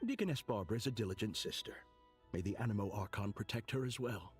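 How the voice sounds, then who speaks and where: A man playing a courteous middle-aged clergyman in a video game, a gentle, wistful father who speaks in formal, measured, poetic phrases. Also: lowish voice, faint music behind, lightly acted. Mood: gracious, wistful. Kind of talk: scripted video game dialogue.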